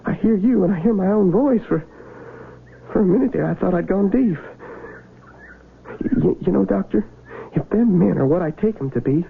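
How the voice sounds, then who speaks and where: An actor playing a nervous, polite young Civil War soldier in a radio drama, with a creaky American voice and folksy, halting, stammering speech. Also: male voice, sits high, expressive, a little hiss or hum. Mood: anxious, puzzled. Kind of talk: 1970s radio drama acting. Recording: narrow, tinny vintage radio broadcast, music behind.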